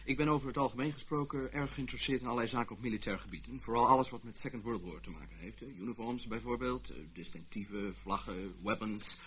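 An actor playing a shrewd, polite American collector in a radio play, flattering and bargaining, sprinkling English words into his Dutch. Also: medium pitch, fast, few pauses, natural voice. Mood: eager, salesmanlike. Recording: noisy old radio drama recording, clicks.

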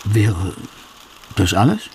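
Deliberate male questioner and narrator for radio drama, creaky, mellow voice. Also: on the low side, very animated, slight background noise, heavily acted. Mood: musing. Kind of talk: scripted radio feature narration.

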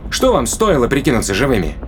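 Skeptical rational guy asking questions, audio drama, mid pitch, lively, bit of background noise. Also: quick pace. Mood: questioning. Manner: questioning, matter-of-fact. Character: skeptical, rational man interrogating a strange creature.

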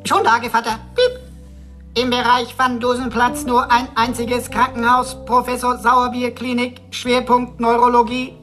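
A woman's voice: a little high, completely flat delivery, normal speed, a bit bright, faint music behind, close to an everyday voice. A woman playing a chatty, cheeky robot sidekick in a radio play, talking in florid, mock-pompous wordplay. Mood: mock-formal lecturing. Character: quirky, chatty, cheeky robot sidekick computer with flowery speech. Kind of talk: German sci-fi radio play acting.

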